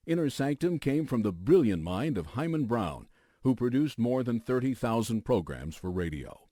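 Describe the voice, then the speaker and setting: A man's voice: mid-range voice, sing-song, normal speed, dark tone, no echo, studio quality. An American man hosting a radio documentary, reading scripted narration as a news anchor would.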